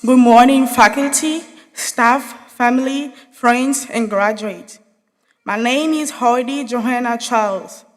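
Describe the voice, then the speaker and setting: A woman's voice: a little high, slow pace. A young woman from Haiti, giving an earnest, spirited graduation speech as a student speaker in a large hall. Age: young adult.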